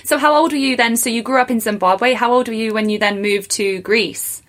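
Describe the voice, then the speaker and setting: A woman's voice: fairly high pitch, a little fast, fairly bright tone. A woman hosting a podcast interview, asking questions and reacting with eager, encouraging affirmations. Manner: enthusiastic, encouraging listener with short affirmations. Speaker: podcast host. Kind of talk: casual interview conversation.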